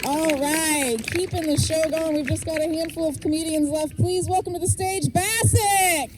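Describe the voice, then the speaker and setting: A woman's voice: extremely high pitch, fairly even intonation, normal speed. A woman hosting an outdoor event and announcing comedians to the crowd. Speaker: event host. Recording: distant voice with loud outdoor noise. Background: traffic and outdoor clatter.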